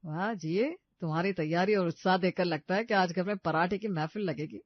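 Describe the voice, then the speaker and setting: A supportive husband in an animated bedtime story , voiced by a man. His voice is high for a man, with an encouraging manner and a dry, slightly dull sound. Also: moderate pace, dry recording. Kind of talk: story dialogue.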